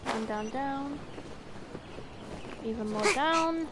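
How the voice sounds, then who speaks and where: A woman gives casual game commentary in a slightly high voice. She talks slowly, with playful, wry asides at her own expense, and there is clear noise behind her. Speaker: game streamer.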